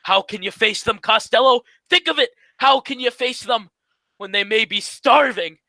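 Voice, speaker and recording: A man's voice: very high-pitched, normal speed, bright, forceful tone, no room sound, full character voice. A man playing a bossy, cajoling, self-serving straight man in a vintage-style comedy radio double act. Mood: goading.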